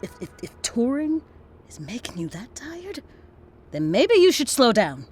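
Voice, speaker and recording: A woman's voice: on the high side, sing-song, measured pace, a little mellow, slight background noise. A woman with an American accent playing a worried, confrontational lover in an audio drama, speaking in an emphatic, plain-spoken way. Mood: concerned, confronting. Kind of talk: naturalistic audio drama acting.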